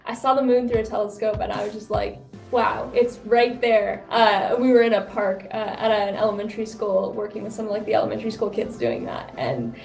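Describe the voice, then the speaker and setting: A woman aerospace systems engineer telling an excitable story and acting out her own reactions. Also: medium pitch, brisk, creaky, soft background music. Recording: distant mic in an echoey room.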